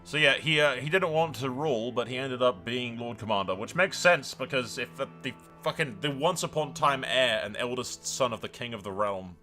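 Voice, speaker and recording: A man with a bright, forceful voice at a middle pitch hosts a gaming video over music. He commentates off the cuff, swears freely and slips into dramatic storytelling as he addresses his viewers. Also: normal speed, few pauses. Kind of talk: casual improvised game commentary with roleplay storytelling.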